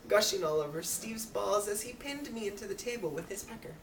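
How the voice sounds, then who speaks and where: A woman's voice: medium pitch, animated, a little fast. A woman reading a story aloud as an amateur, with a giggly manner. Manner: giggly, breaking into laughs while reading. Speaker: amateur reader. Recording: roomy, distant mic with clear noise.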